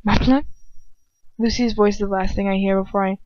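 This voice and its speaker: A woman's voice: medium pitch, level tone, fast talker, darkish tone, no room sound, dull audio. A woman narrating a first-person story as an amateur audiobook reader, now and then stumbling and retaking a line.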